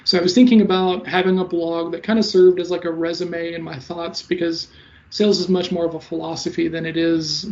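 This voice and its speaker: High, fast-talking man with a stutter. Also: creaky. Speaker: sales coach and guest. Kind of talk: casual interview answers. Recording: slightly dull lo-fi audio.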